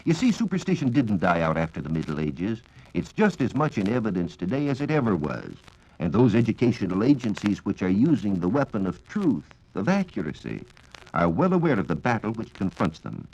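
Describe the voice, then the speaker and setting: Low-voiced, animated American radio science lecturer. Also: male voice, slightly rough. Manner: formal, measured lecturing with rhetorical questions. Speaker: science reporter and radio speaker. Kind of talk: 1940s radio science talk, scripted. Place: radio studio. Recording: dull vintage 1940s radio recording.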